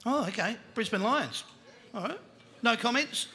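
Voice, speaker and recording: Australian male host, middle-aged, bright sharp gravelly tone, cheery live-stage quizzing. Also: very high voice, lively intonation, unhurried, a little hiss or hum. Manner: cheery, joking, quizzing guests. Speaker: panel show host. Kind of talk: live panel show hosting. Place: indoor venue stage with a live crowd. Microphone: handheld mic.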